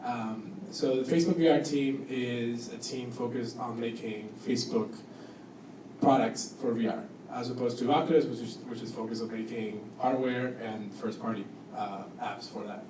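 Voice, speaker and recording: A man at a middle pitch gives an informal, self-effacing talk as a guest designer. His delivery is a little hesitant. He is heard from some distance in an echoey room with clear background noise. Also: fairly even intonation, moderate pace. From Cuba and Costa Rica. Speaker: guest speaker, product designer. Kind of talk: informal spoken introduction at a panel talk. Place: indoor event room with audience.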